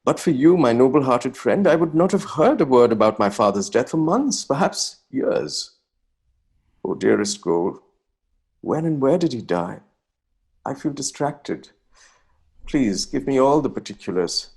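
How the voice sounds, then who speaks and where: A man acting as a young nineteenth-century Bengali poet with an Indian accent, slightly husky in voice. He reads his letters theatrically, self-dramatising and anxious, in ornate, exclamatory phrases from a stage play. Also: medium pitch, expressive, unhurried, a little mellow. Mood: distressed, urgent.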